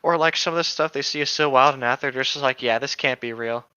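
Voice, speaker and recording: A young man with a bright, smooth voice, reading aloud and tossing in casual asides. He is informal and jokey and trips over difficult words. The recording is close and dry, a little dull. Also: mid-range voice, quick pace, no room sound. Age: young adult. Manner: informal, joking, stumbles over hard words. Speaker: co-host reading fan fiction aloud. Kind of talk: casual group read-aloud with joking asides.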